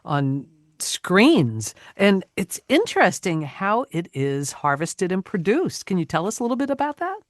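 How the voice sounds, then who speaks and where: A woman hosting an interview, upbeat and quick to react to her guest. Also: on the low side, sing-song, dry recording, clean studio sound. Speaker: host.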